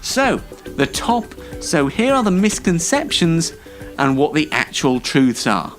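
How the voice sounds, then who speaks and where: A man's voice: fairly high pitch, very animated, measured pace, soft background music. A young British man hosting an online comedy show, delivering a scripted monologue to camera in a wry, sarcastic, mock-formal way. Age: young adult. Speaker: host of an online entertainment show.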